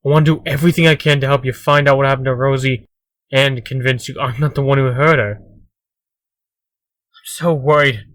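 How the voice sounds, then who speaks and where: An actor playing a distraught, anxious suspect in a comic dub, pleading in distress. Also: male voice, mid-range voice, fairly even intonation, normal speed, long pauses, fairly bright tone, no echo, natural voice. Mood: frantic worry.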